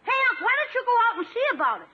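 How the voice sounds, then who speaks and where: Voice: extremely high pitch, sing-song, racing, background noise. An actor playing a cheeky, scheming young nephew in a 1950s radio comedy. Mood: falsely innocent. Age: child figure. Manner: wisecracking, falsely innocent. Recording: muffled old radio broadcast.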